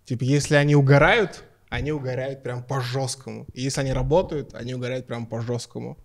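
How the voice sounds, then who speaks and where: A man's voice: mid-range voice, fairly even intonation, quick pace, creaky, slight background noise. A man hosting a casual chat, prodding guests with questions and switching to English. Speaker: host.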